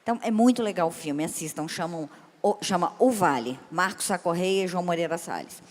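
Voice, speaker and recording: A woman's voice: lowish voice, lively intonation, a little fast. A woman moderating a seminar panel, who talks in a chatty, enthusiastic way and often restarts her sentences. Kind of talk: casual seminar talk. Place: large event hall. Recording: reverberant hall.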